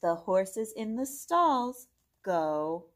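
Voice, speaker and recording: A young adult woman hosting a children's read-along, reading a picture book aloud in an exaggerated, animated manner for small children. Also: medium pitch, drawn-out words. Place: small indoor room with bookshelf.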